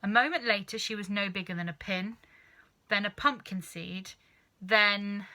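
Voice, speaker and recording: Casting: female children's storybook narrator. Expressive, dramatic, crisply forceful and very bright, mid-pitched. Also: a little slow. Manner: dramatic, animated reading. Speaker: storybook reader.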